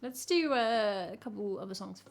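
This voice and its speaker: A woman from England with a croaky voice, chatting casually with her live-stream chat as a singer-songwriter and teasing herself as she goes. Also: medium pitch, lively intonation, moderate pace, loud music behind the voice. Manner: chatty, self-teasing, hums melody snippets.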